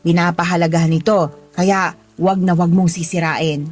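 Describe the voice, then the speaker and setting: An actress playing a kindly married boss in a Filipino radio drama, giving firm, nurturing advice in a Filipino accent. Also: female voice, on the low side, flat delivery, normal speed, close to an everyday voice. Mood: earnest, firm. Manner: advising, nurturing, firm reminders. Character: kindly, motherly married female boss teaching street-food vending. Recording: music playing behind the voice.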